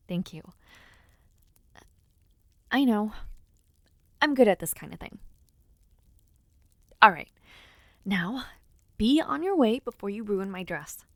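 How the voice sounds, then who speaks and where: A woman voicing a playful, affectionate young noblewoman knight in an audio roleplay, speaking softly and teasingly and often dropping into whispers. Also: medium pitch, animated, slow pace, pauses often, no room sound, clean studio sound. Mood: chatty, teasing. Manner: soft, teasing and intimate, drops to whispers.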